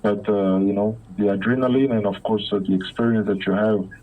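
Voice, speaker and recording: A man from Belgium, a former pro football player, talking as a guest in an interview, halting with many repeated words and fillers, over a phone line. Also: fairly low pitch, steady tone. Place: Africa.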